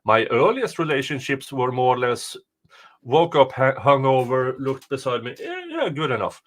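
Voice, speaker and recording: A man reading aloud casually as a co-host, dropping in deadpan, hesitant asides. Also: mid-range voice, moderate pace. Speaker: co-host reading and reacting to a document.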